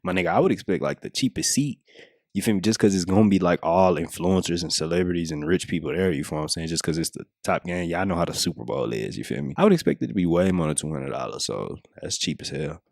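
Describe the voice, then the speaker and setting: A man's voice: low voice, fast talker, creaky, a little mellow, no echo, studio quality. An American man giving casual, unscripted reaction commentary in a slangy style full of swearing. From United States. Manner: slangy, heavy swearing, repeats checking phrases. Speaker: reaction video host.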